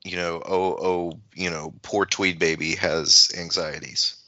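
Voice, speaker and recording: A man chatting casually about literature as a podcast panelist, with a halting, anecdotal delivery. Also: deep voice, fairly even intonation, bright, forceful tone, dry recording, dull audio.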